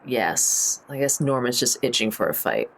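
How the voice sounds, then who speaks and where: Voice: mid-range voice, lively intonation, moderate pace, vocal fry, a crowd in the background. A tabletop game player chatting informally, thinking aloud and joking through each move. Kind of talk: casual chat during a tabletop game.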